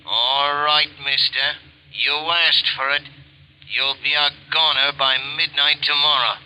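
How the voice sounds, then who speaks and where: A hard-boiled 1940s radio gangster, extorting and threatening. The voice is very bright and cutting, pitched fairly high for a man. He talks in tough street slang. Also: steady tone, unhurried, clean tone, low-quality recording. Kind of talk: 1940s radio crime drama acting.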